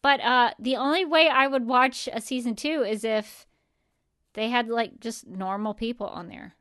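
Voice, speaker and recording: A woman's voice: a little high, fairly even intonation, a little slow, pushed and bright, dry recording, clean studio sound. A woman co-hosting a podcast in a casual, chatty and emphatic way, often acting out imagined remarks. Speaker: podcast co-host. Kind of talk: casual chat.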